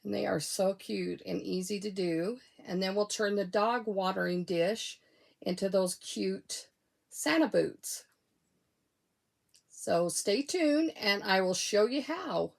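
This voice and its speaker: A woman with a cold and a froggy, hoarse voice, chatting casually as she walks through a craft tutorial at home. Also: medium pitch. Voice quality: froggy, gravelly. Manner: chatty, unhurried, with fillers and self-corrections. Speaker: craft channel host.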